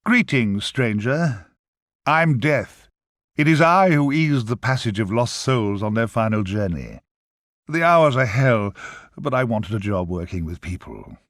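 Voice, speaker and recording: An actor playing a wry, chatty, overworked grim reaper in a video game, with a theatrical manner. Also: male voice, mid-range voice, animated, a little slow, fairly bright tone, dry recording. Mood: wry greeting. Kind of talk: scripted video game character acting.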